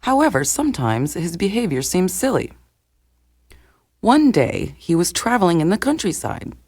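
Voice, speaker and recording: Lively man telling folktales. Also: sits high, moderate pace, no echo, clean studio sound. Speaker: narrator. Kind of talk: scripted folktale narration for language learners.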